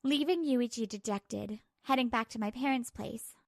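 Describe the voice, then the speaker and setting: A woman's voice: fairly high pitch, moderate pace, creaky, no room sound, studio quality. A woman reading a scripted first-person story as narrator and lead character of a manga dub.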